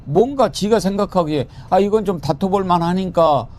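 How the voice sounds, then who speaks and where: A man hosting a political panel talk, lively and joking, throwing questions to guests. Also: high voice, moderate pace. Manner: lively, joking, quotes with raised voice. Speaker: talk show host.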